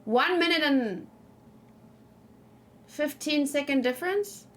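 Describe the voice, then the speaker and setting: A woman doing a casual let's play, excitable and thinking aloud, often repeating her phrases as she goes. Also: high voice, slow pace. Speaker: game streamer, host. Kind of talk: casual let's play chat and live game commentary.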